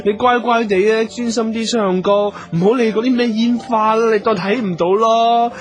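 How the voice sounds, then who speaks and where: Man acting fawning, excitable Hong Kong showbiz manager. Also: high voice, moderate pace, lightly acted. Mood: coaxing. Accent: Hong Kong Cantonese. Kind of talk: Hong Kong radio comedy drama acting.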